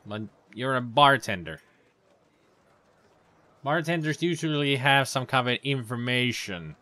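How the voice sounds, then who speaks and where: A man's voice: mid-range voice, expressive, measured pace, sharp tone, no room sound. A man giving casual, rambling commentary over gameplay, with sarcastic asides. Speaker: let's play commentator.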